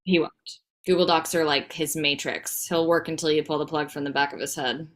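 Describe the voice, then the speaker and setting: A woman playing a no-nonsense wife and mother with casual, deadpan delivery in a comedy table read. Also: deep voice, fairly quick, close to an everyday voice. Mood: blunt.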